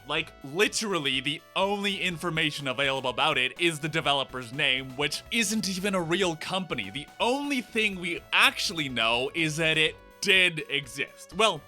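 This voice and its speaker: A man narrating his own video essay with dry sarcasm and casual asides. His voice is very bright and slightly high. Faint music plays softly underneath. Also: lively intonation, normal speed, hardly any pauses. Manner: sarcastic, wry and conversational.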